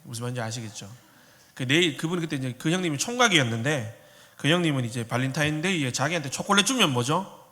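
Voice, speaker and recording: A man's voice: on the high side, lively intonation, quick pace, pushed and bright. Pastor in his thirties with a faint Korean regional dialect, easy joking delivery, sanctuary reverb. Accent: slight Korean regional dialect. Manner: joking, conversational preaching. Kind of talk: sermon. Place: church sanctuary. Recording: long hall reverb.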